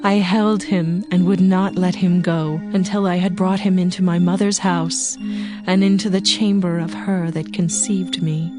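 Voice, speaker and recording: A woman playing a devoted young bride in a dramatized scripture reading, speaking in a solemn, measured, poetic manner. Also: mid-range voice, close to an everyday voice. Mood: yearning, tender. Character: devoted young bride yearning for her beloved. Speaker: actor reading dramatized audio Bible. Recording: music bed behind the voice.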